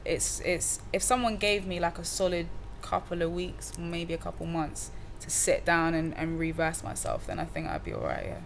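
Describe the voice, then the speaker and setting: Young woman musician, low-pitched, easygoing slangy talker, faint room hiss behind. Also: normal speed, creaky, hardly any pauses. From Cardiff, Wales. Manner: relaxed, slangy, many fillers and restarts. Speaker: musician guest. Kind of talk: casual interview chat.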